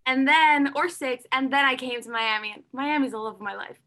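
Bright young woman singer as guest. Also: sits high, fairly quick, a little hiss or hum. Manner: earnest, warm-hearted, uses "like" and "you know" often. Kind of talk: interview conversation.

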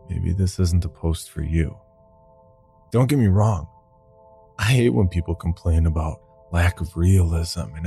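A man's voice: low-pitched, warm tone. A man hosting a horror podcast and reading scripted first-person stories. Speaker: podcast host and story reader.

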